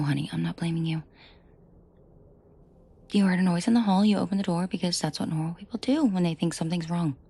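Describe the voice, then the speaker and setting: A woman playing a commanding, protective mafia boss in an intimate roleplay audio drama, shifting between cold authority and soft coaxing. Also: lowish voice, vocal fry, breathy edge, full character voice. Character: commanding female mafia boss, furious yet protective and tender.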